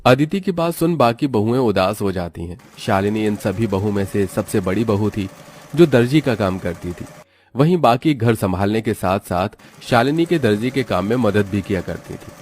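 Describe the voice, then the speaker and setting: A man's voice: lowish voice, lively intonation, moderate pace, a little mellow, a little hiss or hum. A man narrating a scripted cartoon moral tale as its storyteller.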